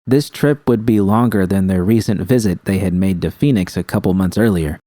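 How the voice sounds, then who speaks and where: Casting: male audiobook-style narrator, low mellow voice, scripted fiction, very dry recording. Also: moderate pace, no room sound.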